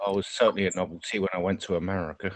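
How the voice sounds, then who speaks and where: Deep-voiced Norwegian man, slight British accent. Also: rapid-fire, no room sound. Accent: slight British English. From Norway. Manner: relaxed, hesitant with fillers. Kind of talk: casual chat.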